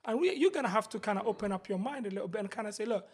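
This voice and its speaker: A man with a notably high-pitched, husky voice talks in a casual group discussion. He is earnest, often repeating his phrases and putting rhetorical questions to his listeners. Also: lively intonation, racing. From Britain. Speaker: panel discussion participant.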